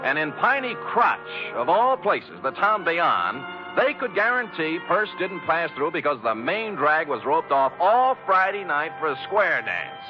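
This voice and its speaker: An actor playing a wry, deadpan private detective in a 1940s-style radio drama. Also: male voice, on the high side, measured pace. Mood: wry narration. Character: wry hard-boiled male private detective. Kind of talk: 1940s radio drama acting, first-person narration. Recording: muffled old radio recording with music.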